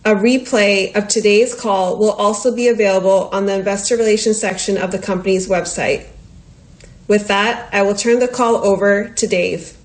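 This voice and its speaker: A woman with a medium-pitched voice reads a prepared corporate statement. Her delivery is formal and neutral, as an investor relations director opening a call. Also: steady tone, normal speed. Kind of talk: scripted legal disclaimer reading.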